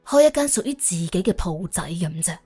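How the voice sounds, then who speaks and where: A woman narrating an audiobook in an animated, colloquial storytelling style with a wry, expressive manner. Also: lowish voice, moderate pace, husky, faint music behind.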